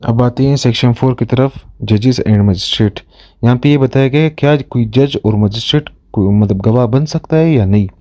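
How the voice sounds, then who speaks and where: A man with a Pakistani accent giving an explanatory lesson as a lecturer, halting and often rephrasing himself mid-sentence. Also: mid-range voice, dark tone. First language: Urdu. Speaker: law lecturer. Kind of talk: explanatory lesson, reading statutes aloud and explaining them.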